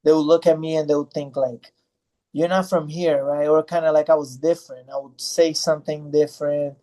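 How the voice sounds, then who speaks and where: A man's voice: on the high side, level tone, a little fast, warm tone. A man in his thirties from Brazil, a fighter answering interview questions in a loose, rambling way with many fillers and restarts. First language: Portuguese.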